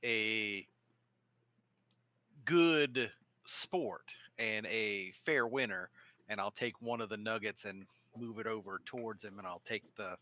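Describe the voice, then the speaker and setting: Man, tabletop player, halting, lowish voice, bright forceful tone, dull lo-fi mic. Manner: halting, repeats words. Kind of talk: casual tabletop play. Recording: dull lo-fi microphone.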